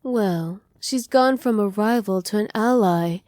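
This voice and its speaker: A woman voicing a doting, possessive evil witch in an intimate ASMR roleplay, speaking softly and teasingly, often slipping into breathy whispers. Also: mid-range voice, a little slow, dry recording, studio quality, lightly acted. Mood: smug, satisfied. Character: doting, possessive evil witch pampering her captive pet. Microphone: close microphone, dry.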